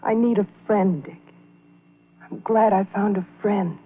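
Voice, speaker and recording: A woman's voice: medium pitch, unhurried, close to an everyday voice. A woman acting an unhappy, pleading wife with a melodramatic manner in an old-time radio drama. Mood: grateful, pleading. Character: unhappy, pleading young wife confiding in a man. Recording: muffled old radio recording.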